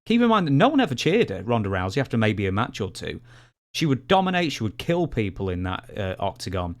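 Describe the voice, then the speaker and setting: A man's voice: medium pitch, big pitch swings, brisk. A man co-hosting a podcast, discussing sports casually and building his points emphatically, step by step. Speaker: podcast co-host.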